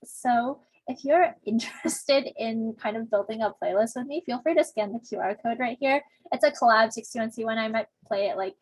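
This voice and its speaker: Young woman TA on a video call, chatty and self-deprecating, slightly high husky voice, dry sound. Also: dry recording, poor audio. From Southern California. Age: young adult. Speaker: teaching assistant. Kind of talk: casual online discussion section intro.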